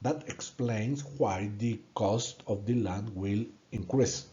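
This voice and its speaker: A man from Colombia with a Colombian Spanish accent, a native Spanish speaker giving an earnest, halting presentation in English and repeating words when stuck. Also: lowish voice, mellow, highs cut off. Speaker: student presenting a course project. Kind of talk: prepared presentation narration.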